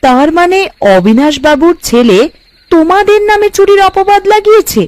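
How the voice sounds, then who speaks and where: Woman voicing a high-pitched, inquisitive village wife. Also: very animated, moderate pace, darkish tone, dry recording. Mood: anxious, questioning. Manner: probing, exclamatory. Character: inquisitive village wife, blunt and anxious, questioning husband. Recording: light background music.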